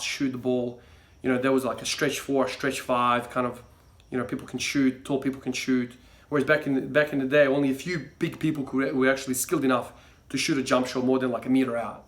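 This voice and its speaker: Rambling young man talking to camera. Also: medium pitch. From Croatia. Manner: rambling, many fillers and restarts. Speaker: fitness vlogger. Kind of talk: casual monologue to camera.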